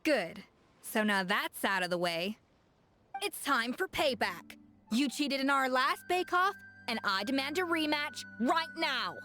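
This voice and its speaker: A woman's voice: sits high, lively intonation, a little slow, music in the background. A woman playing a proud, confident young female genius chef in an anime game, emphatic and scolding but caring underneath. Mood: challenging.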